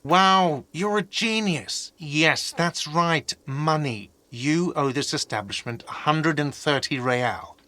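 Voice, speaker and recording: An actor playing an exasperated, sarcastic hostel manager in a video game, with an irritated, condescending way of talking. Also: male voice, a little high, slow pace, few pauses, sharp tone.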